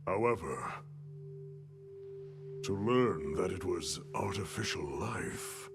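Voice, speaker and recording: An actor playing a grave, formal older commander in a fantasy game, speaking with deliberate authority and a gravelly voice. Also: male voice, deep voice, lively intonation, noisy background, heavily acted. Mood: grave, reflective. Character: grave, measured older male commander of a hunters' guild. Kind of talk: cinematic fantasy game acting. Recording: music behind the voice.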